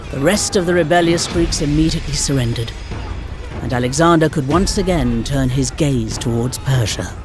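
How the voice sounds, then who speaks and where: A woman narrating history for a game cutscene in a theatrical, measured storytelling manner. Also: deep voice, a little mellow. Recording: music behind the narration.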